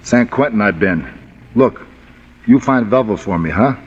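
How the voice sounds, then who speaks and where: An actor playing a big, slow-witted, menacing ex-convict thug in an old radio drama, with gruff, blunt, ungrammatical tough-guy talk. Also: lowish voice, lively intonation, lo-fi sound. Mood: insistent, yearning. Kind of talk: golden-age radio drama acting. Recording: old band-limited noisy radio recording, long reverb.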